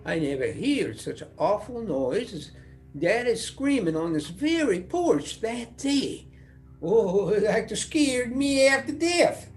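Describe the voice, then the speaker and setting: A woman's voice: mid-range voice, very animated, slow, darkish tone, faint music behind. A woman playing a blunt, teasing hill-country sibling in a stage play, with an Appalachian accent. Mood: troubled. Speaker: actor in a one-act play. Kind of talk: stage play dialogue, reminiscing.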